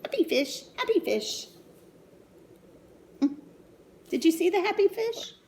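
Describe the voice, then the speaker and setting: Animated woman reading aloud to children, lively pitch. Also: slow, creaky. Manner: animated, sing-song, child-directed. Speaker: storyteller reading a picture book to young children. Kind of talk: expressive read-aloud with asides and questions to children.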